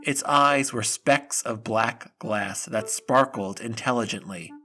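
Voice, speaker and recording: A man's voice: mid-range voice, takes it slowly, bright, forceful tone. A man narrating a scripted audio drama in the manner of a storybook reading.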